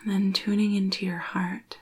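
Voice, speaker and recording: A woman leading a spiritual guided meditation in a hushed, breathy near-whisper, slow and soothing with long pauses. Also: mid-range voice, little pitch movement.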